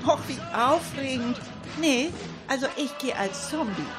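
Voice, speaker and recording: A woman's voice: high voice, animated, unhurried, over music. A woman playing a sociable, playful older hobby detective in an amateur radio crime play, full of chummy exclamations.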